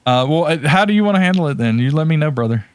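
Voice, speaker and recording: A man's voice: on the high side, animated, rapid-fire, clear, resonant tone, no room sound. A man co-hosting a podcast, chatting casually and giving opinions in a blunt, informal, tongue-in-cheek way. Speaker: podcast co-host.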